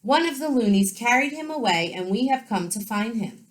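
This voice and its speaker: Woman as a high, forthright boy rag doll. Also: animated, normal speed, lightly acted, rough recording. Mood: resolute. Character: brave, plain-speaking boy rag doll. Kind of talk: children's storybook read-aloud.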